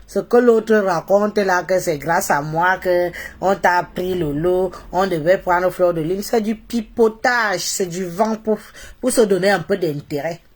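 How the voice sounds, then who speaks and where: A woman's voice: lowish voice, measured pace. A woman from Cameroon with a Cameroonian accent and a head cold, talking to followers on a live stream in a mocking, opinionated way, repeating phrases for emphasis. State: congested with a cold. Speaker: social media live streamer.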